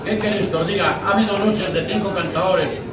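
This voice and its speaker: An older man from Spain speaks informally and from the heart, moved and a little choked up. His voice is rather high, heard from across a roomy restaurant with a loud crowd around him. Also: steady tone, quick pace, distant mic. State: emotional, choked up. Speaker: speaker giving a tribute at a farewell dinner. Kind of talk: informal heartfelt tribute speech. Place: busy restaurant dining room, indoors. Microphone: distant camera across the room. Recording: echoey room from afar, loud crowd.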